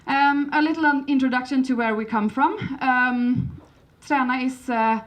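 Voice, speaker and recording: A woman's voice: sits high, measured pace. Swedish-accented woman giving a conference talk. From Sweden. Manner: hesitant, many fillers and restarts. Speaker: conference speaker. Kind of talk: conference presentation.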